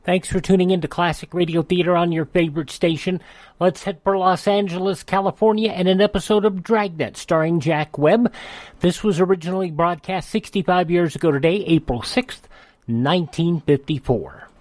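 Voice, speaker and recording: A man's voice: high voice, fairly even intonation, moderate pace, a little mellow, dry recording. A man hosting a radio show with a scripted introduction.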